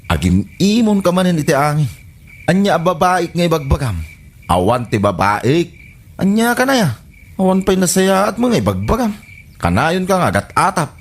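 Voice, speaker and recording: A man's voice: a little high, big pitch swings, moderate pace. A man with a Filipino accent playing a defensive, exasperated husband in a comedy radio drama, full of protests and exclamations. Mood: defensive, irritated. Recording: music behind the voice.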